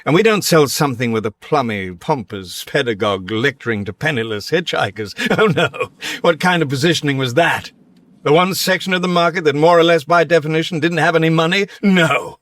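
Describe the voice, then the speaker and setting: A man's voice: fairly high pitch, brisk, fairly bright tone, close to an everyday voice. A man playing a smug, domineering editor-in-chief in a radio comedy, with clipped, pompous salesman-like pronouncements. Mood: scornful, boastful. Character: smug, domineering male corporate editor-in-chief, grandiose zealot. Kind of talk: radio comedy drama acting.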